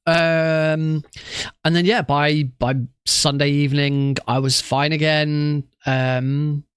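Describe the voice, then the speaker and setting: A man's voice: a little high, little pitch movement, takes it slowly, clear, resonant tone, dry recording, studio quality. A man with a cough and a congested nose, chatting casually to stream viewers in a rambling way with many restarts. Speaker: streamer and marathon organiser.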